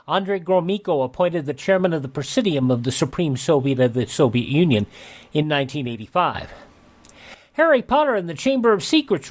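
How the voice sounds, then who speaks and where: A man's voice: fairly high pitch, darkish tone. An older American man hosting a radio show, reading almanac items and dropping in informal asides.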